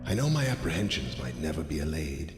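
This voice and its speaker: An actor playing a grave, regretful man in a game intro monologue, speaking in a solemn, measured, formal way. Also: extremely low pitch, big pitch swings, full character voice. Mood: solemn, brooding. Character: grave, regretful male author narrating his lost book. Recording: long echoing reverb, loud music behind.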